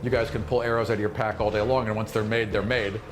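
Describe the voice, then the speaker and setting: A man improvising narration as game master of a tabletop fantasy game, casual and animated, acting out sound effects and reactions. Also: on the low side, fast. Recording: clearly noisy recording.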